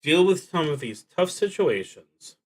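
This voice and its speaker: Slow, deliberate man thinking aloud. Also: a little high, big pitch swings. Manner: halting, deliberate, trailing off. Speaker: solo tabletop roleplaying streamer. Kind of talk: thinking aloud while playing a solo card and dice game.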